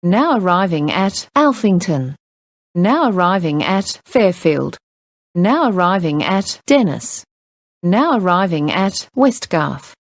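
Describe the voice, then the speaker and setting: Low-pitched woman announcer, slow and measured. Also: very animated, no room sound. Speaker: train announcer. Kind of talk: scripted public transport announcements.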